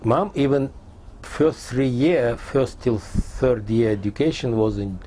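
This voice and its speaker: A man in his sixties from Tajikistan, a Persian speaker, recounting his life in halting, fragmented English in an oral history interview. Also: mid-range voice, dark tone, a little hiss or hum. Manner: halting non-native English in short fragments.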